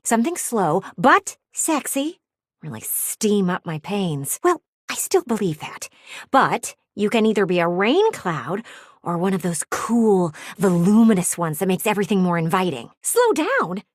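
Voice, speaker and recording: An actress voicing a pushy, blunt talking window in a video game, with bossy, dramatic emphasis. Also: female voice, a little high, no room sound, clean studio sound. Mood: condescending, insistent.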